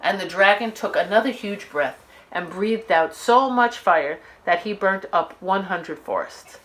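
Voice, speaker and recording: Animated woman reading a children's story. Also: mid-range voice, a little slow, low-quality recording. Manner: expressive, dramatic emphasis.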